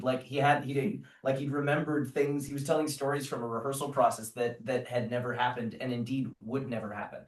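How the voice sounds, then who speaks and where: An echoey recording catches a man some way from the microphone. He is an actor being interviewed, talking casually and reflectively in a medium-pitched voice, often halting, with a self-deprecating manner. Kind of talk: casual thoughtful interview talk. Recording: echoey room, distant microphone.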